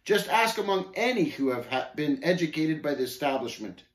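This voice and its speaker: A man's voice: on the high side, big pitch swings, normal speed, pitched above the performer's own voice. Man acting a haughty, sneering scoffer in echoey room. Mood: victorious, forceful. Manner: contemptuous, gloating, archaic speech, snapping. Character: haughty sneering scoffer named Shame, taunting pilgrims. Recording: roomy, echoing voice recording.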